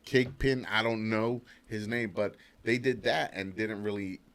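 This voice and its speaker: Lower-voiced man, blunt and slangy. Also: steady tone, a little slow, a bit bright. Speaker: podcast panelist. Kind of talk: casual chat.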